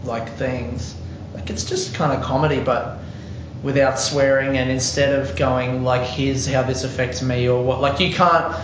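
A man's voice: medium pitch, steady tone, unhurried. A man hosting a casual interview, rambling and joking with plenty of swearing. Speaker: podcast host, comedian. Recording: roomy dull recording with crowd noise.

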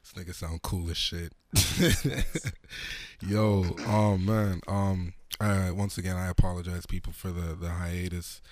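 A man hosting a podcast, hoarse, talking in a laid-back, slangy, profane way. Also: low voice, little pitch movement, unhurried. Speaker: podcast host. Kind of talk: casual podcast chat.

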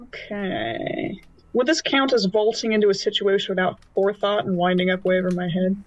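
A woman's voice: mid-range voice, animated, a little fast, no room sound, dull audio. A woman playing a tabletop roleplaying game, chatting casually as she explains her moves. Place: remote online call.

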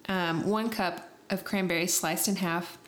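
A young woman with a slightly low voice, talking loosely over a faint hum of noise in the background. She is sniffly from allergies and rambles as she chats, full of fillers. Her tone is easy and conversational. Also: steady tone, a little slow. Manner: chatty and rambling, with many fillers and laughs. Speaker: podcast co-host. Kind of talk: casual chat.

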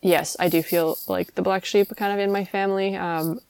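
A woman's voice: mid-range voice, fairly even intonation, normal speed, vocal fry, darkish tone, clear, resonant tone, no room sound. A young woman hosting a podcast, chatting casually and laughing often. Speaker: podcast host. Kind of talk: casual chat.

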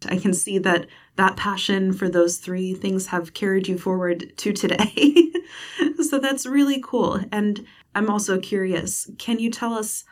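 A woman's voice: mid-range voice, fairly even intonation, unhurried. Distant-sounding woman host. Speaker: podcast host. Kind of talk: casual interview chat.

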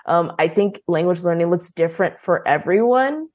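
A woman's voice: lowish voice, lively intonation, moderate pace, highs cut off. Young woman, guest in a casual interview, filler-heavy and conversational. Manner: chatty, many "like" and "um" fillers. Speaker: guest, language program leader.

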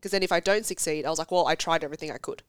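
Young woman, stuffy from a cold, chatty and self-deprecating, smooth dry podcast sound. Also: low voice, no echo. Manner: chatty, self-deprecating, quick storytelling with quoted asides. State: congested from a cold. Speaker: podcast guest. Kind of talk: casual chat. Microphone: studio podcast mic.

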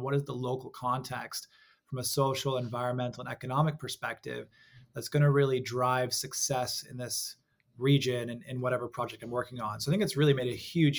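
A young adult man with an American accent, a guest in a conversational interview, speaking in an articulate, measured way. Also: medium pitch, gravelly. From Hong Kong.

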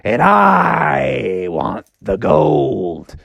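A man's voice: medium pitch, sing-song, extremely slow, gravelly, a bit bright, pitched below the performer's own voice, full character voice. A man voicing a greedy male bear in a told story, drawling and bellowing about gold.

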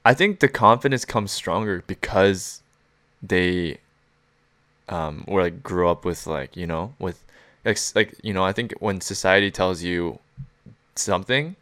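Laid-back young man with a low voice. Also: a little slow, fairly bright tone, dry recording. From born in North America to Korean parents. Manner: laid-back, laughs often, rambling with many fillers. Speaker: podcast co-host. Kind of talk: casual chat.

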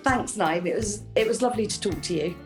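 A woman's voice: mid-range voice, fairly quick, faint music behind. A middle-aged woman, a flower farmer, chatting as a podcast guest in a friendly way, with lots of ums and small laughs. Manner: chatty and warm, with frequent ums and light laughs. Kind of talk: casual interview chat.

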